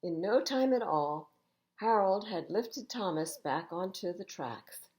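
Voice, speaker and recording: An older woman hosts a children's storytime. She reads with emphasis and wide, lively swings in pitch, her voice a little low, and adds chatty asides for young listeners. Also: slow. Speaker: library storytime reader.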